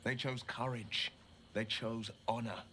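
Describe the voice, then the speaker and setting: Noble hero in a fantasy game, bright forceful voice, slow n earnest. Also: male voice, medium pitch, level tone, a little hiss or hum. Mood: earnest, urging. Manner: earnest, emphatic. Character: earnest heroic male adventurer, urging courage. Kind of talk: video game acting.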